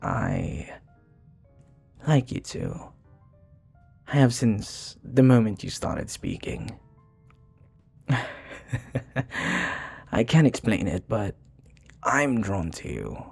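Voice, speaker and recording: Man acting a low, husky, flirty vampire bartender. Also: huge pitch swings, faint music behind, heavily acted. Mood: shy, smitten. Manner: tender, hesitant, flirtatious, with soft pauses. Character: charming, flirty, sincere male vampire bartender. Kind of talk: intimate ASMR roleplay acting.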